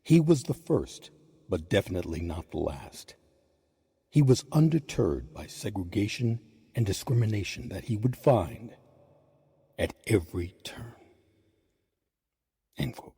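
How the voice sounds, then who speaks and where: A man hosting and narrating a scripted history piece with measured, emphatic phrasing. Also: fairly low pitch, lively intonation, creaky, mellow, breathy edge, dry recording, clean studio sound. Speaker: host and narrator. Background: background music.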